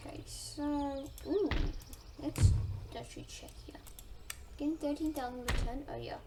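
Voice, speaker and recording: A woman's voice: sits high, measured pace, very noisy. A woman playing a strategy game, thinking aloud and chatting casually to her viewers about her moves. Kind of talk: casual let's-play commentary. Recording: distant mic with loud game music. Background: game music.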